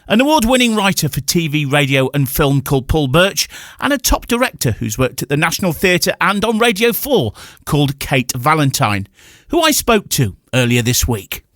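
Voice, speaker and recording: A man hosting a radio interview. His voice sits a little high and moves around in a very animated way. The sound is dry, with no room echo. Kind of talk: radio interview, introducing and questioning guests.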